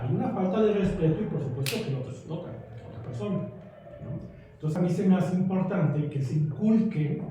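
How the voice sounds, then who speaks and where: A man's voice: a little high, dark tone, a little hiss or hum. Man talking far off in an echoey room. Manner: rambling, reflective, uses many fillers. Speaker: discussion participant. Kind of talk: casual group discussion. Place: room of a community gathering.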